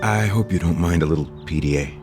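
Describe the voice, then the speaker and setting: Man acting as polite old flame, lowish voice, quick delivery, easygoing, soap opera drama. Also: music in the background, close to an everyday voice. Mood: friendly. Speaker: actor in an audio soap opera.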